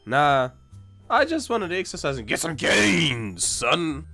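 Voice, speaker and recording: Woman doing a brash, very animated pegasus pony. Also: low voice, slow, soft background music, heavily acted. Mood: flippant. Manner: flippant, cocky, offhand. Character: brash, flippant young female pegasus pony. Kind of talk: cartoon parody voice acting.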